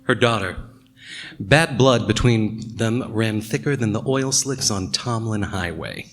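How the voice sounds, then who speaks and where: A man's voice: on the low side, measured pace, a bit bright. An actor playing a world-weary, sardonic male narrator, delivering scripted noir narration in a radio play. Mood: wry, brooding.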